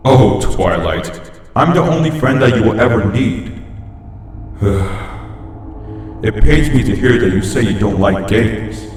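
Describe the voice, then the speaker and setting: A man's voice: lowish voice, lively intonation, darkish tone. Man voicing a creepy possessive living doll, drenched in echo. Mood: sweetly menacing. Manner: sinister, sweetly taunting, drawn-out sighs. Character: creepy possessive living toy doll, gleeful old lady. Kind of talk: horror fan fiction reading. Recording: cavernous echoing reverb, faint noise.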